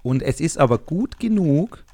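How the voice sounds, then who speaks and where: A man's voice: on the high side, sing-song, moderate pace, dark tone, no room sound. A man with a German accent chatting as a podcast co-host in a colloquial, blunt, rambling way. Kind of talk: casual podcast chat.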